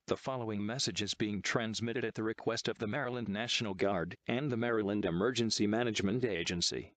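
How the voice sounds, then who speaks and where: A synthetic male text-to-speech voice reading a scripted emergency broadcast announcement in a formal, impersonal, measured manner. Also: lowish voice, a bit bright, dry recording.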